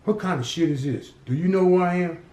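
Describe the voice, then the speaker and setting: A man's voice: sits high, fairly quick, mellow, pitched above the performer's own voice, lightly acted. A man voicing a hot-tempered, threatening gang boss who swears freely. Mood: menacing. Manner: threatening, profane, growling. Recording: roomy echo.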